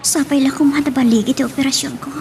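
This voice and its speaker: A woman's voice: high voice, steady tone, fast, background noise. A woman playing an anxious, bashful daughter in an Ilocano audio drama. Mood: worried.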